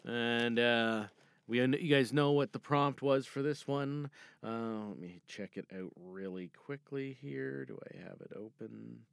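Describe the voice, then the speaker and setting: A man's voice: medium pitch, a little slow, gravelly, clear, resonant tone, no echo. A man hosting a podcast in a casual, off-the-cuff way, rambling and often interrupting himself. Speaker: podcast host. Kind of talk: casual off-the-cuff hosted introduction. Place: small dry booth.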